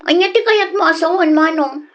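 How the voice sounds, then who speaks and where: A woman's voice: very high voice, brisk, muffled recording. A woman playing a disrespectful, impatient grown daughter in a radio drama, whiny and snappy, with an Ilocano accent. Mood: defensive.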